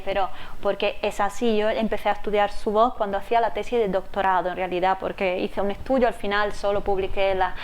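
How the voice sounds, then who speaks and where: A woman researcher answering audience questions about her project in a hesitant way, with many fillers and restarts. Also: mid-range voice. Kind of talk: academic talk, answering questions.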